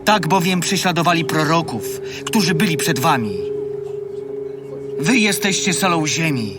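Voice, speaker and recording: A calm holy teacher in a gospel radio play, with a fairly high male voice set slightly back from the mic. He instructs his disciples in a measured, solemn way over music. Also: distant mic. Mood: solemn, teaching. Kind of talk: dramatized gospel reading, audio drama.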